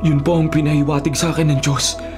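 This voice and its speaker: An actor playing a humble, devout Hebrew dream interpreter in a respectful, earnest radio drama style. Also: male voice, on the high side, flat delivery, quick pace, lightly acted. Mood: solemn, earnest. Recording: radio drama over clear background music.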